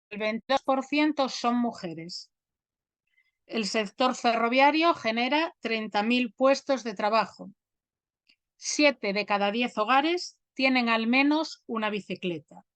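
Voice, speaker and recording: Spanish woman moderating an online panel, slow and careful, a bit high, dry sound. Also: a bit bright, dry recording. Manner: measured, reads out figures deliberately. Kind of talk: online event introduction. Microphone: video call.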